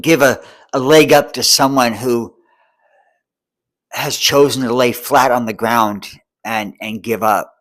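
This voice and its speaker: A man in his mid-forties at a middle pitch talks straight to the viewer with earnest resolve. He rambles and repeats himself, stumbling over restarts. He keeps checking whether the listener is following along. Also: fairly bright tone. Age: 40s. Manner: rambling and repetitive, many restarts; earnest and determined. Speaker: vlogger and homelessness advocate. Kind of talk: earnest monologue to camera. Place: Seattle.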